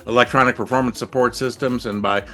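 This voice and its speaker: A man's voice: medium pitch, fairly even intonation, quick pace, sharp tone. A man hosting a podcast, asking eager, earnest questions of his guest. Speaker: podcast host. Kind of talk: conversational podcast interview.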